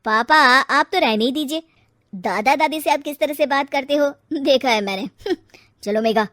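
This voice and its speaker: A woman voicing a firm young married son who scolds his parents, in a lowered voice. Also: lively intonation, moderate pace, dry recording, studio quality. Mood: scolding.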